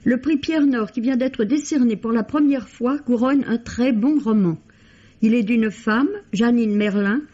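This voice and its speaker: A woman literary critic reading a scripted radio book review in a measured, formal way. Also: fairly high pitch, dark tone. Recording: dull old radio recording.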